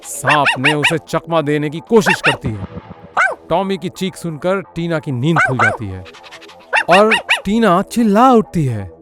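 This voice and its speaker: Animated male cartoon story narrator. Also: a little high, unhurried, loud music behind the voice.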